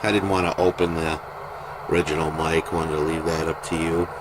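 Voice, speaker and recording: A man giving a casual, plain-spoken product demonstration as a private seller, in an unhurried, informal way. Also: extremely low pitch. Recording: noisy home video, steady background noise.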